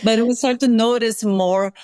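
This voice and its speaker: A woman from Brazil with a Brazilian accent, a scientist guest explaining things in a measured way, sometimes correcting herself mid-sentence. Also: a little high, steady tone, darkish tone, no room sound, studio quality. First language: Portuguese. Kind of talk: interview explanation.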